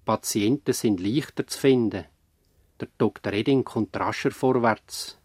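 A man narrating a scripted radio drama, measured and reflective, with a Swiss German accent. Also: lowish voice, darkish tone, husky, no room sound. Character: reflective male narrator of a country-doctor story.

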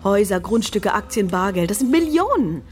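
A woman's voice: medium pitch, very animated, brisk, a little mellow, lightly acted. A woman playing a scheming, discontented wife in a German radio crime drama, coolly calculating and persuasive. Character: scheming, discontented wife plotting with her lover.

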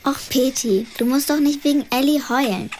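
A woman as a high-voiced precocious schoolgirl narrator. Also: moderate pace, background noise, natural voice. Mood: scheming. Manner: wry, matter-of-fact, know-it-all. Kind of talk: children's radio play narration. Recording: music behind the voice.

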